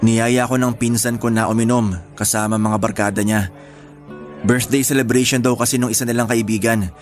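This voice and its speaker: Actor as low-key young man over faint music. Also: steady tone, a little fast, close to an everyday voice. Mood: matter-of-fact, regretful. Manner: low-key, matter-of-fact, casual. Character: quiet, homebody young man, gentle and forgiving. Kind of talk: radio drama dialogue. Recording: faint music under dialogue.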